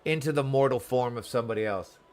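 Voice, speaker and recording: A man's voice: mid-range voice, quick pace, a little mellow. A man live-streaming a game, chatting casually and welcomingly with viewers while commenting on the story, often repeating words.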